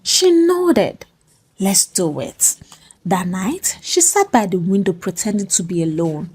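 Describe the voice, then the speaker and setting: Slow, husky woman storyteller. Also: mid-range voice, sing-song, a little mellow. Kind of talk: dramatic scripted story narration.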